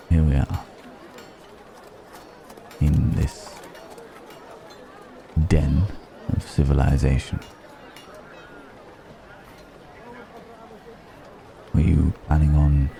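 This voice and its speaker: Male dragon in disguise, played by a man, suave and gently mocking, extremely low and dark, for intimate fantasy ASMR with musing pauses. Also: creaky, exaggerated voice. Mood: wry, teasing. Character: suave, probing male dragon posing as a curious stranger. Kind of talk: ASMR roleplay, intimate dramatic monologue. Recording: background music and crowd murmur.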